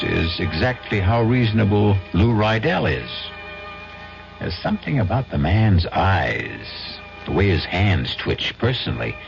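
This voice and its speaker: A man's voice: low-pitched, gravelly. A man hosting a radio mystery, narrating from a script in a wry, ominous manner. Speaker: host and narrator. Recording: dull old radio recording over music.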